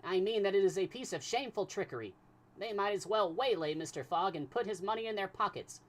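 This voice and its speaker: A man reading aloud who voices an excitable young French manservant, loud and exclaiming, in a raised voice. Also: on the high side, normal speed, voice pushed up. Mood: indignant.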